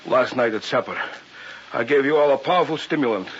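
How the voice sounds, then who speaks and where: A formal, commanding radio-drama doctor. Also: male voice, medium pitch, lively intonation, brisk, breathy edge. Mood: grave. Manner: formal, authoritative, theatrical. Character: secretive doctor, commanding host of an island weekend. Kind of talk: old-time radio drama acting. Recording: muffled, hissy vintage radio recording.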